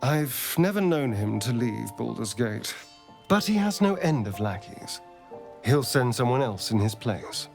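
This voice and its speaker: Casting: undead vampire spawn for fantasy RPG. Theatrical, bitter, wry, slow and measured, highly expressive intonation. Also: male voice, creaky, faint music behind. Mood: guarded, warning. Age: centuries-old undead. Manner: theatrical, wry, bitter, deliberate with dramatic pauses. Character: haunted, sardonic vampire spawn, escaped from his master. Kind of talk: dramatic fantasy game dialogue.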